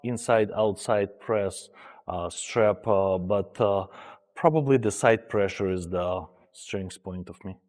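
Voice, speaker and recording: Man in his forties, measured. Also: on the low side, a little mellow, big-room sound. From Ukraine. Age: 40s. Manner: measured, with frequent "uh" pauses. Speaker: armwrestler. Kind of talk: pre-match interview.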